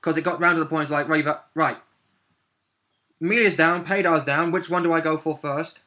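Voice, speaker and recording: Male gamer, a little higher-pitched with a sharp bright edge, informal session recap. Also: steady tone, fairly quick. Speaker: tabletop role-playing game player. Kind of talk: casual chat recapping a game session.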